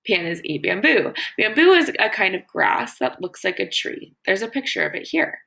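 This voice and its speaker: A woman teacher doing an educational read-aloud for children, explaining as she reads and pausing to wonder aloud and ask questions. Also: mid-range voice, sing-song, creaky, pushed and bright. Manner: explanatory, pauses to wonder aloud and pose questions to young listeners. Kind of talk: educational read-aloud of a children's nonfiction book with think-aloud comments.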